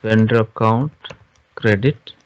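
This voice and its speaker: A man teaching software step by step in an informal screen-share tutorial, halting and often checking understanding with questions. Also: fairly low pitch, fairly even intonation. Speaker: SAP software trainer. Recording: dull, lo-fi online class audio.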